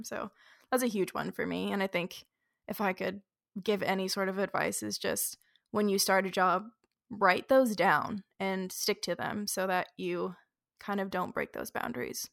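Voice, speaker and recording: Chatty young woman with a gravelly edge. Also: medium pitch, a bit bright, no echo, studio quality. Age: 20s. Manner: chatty, upbeat, many "like" fillers. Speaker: podcast co-host. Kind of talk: casual chat.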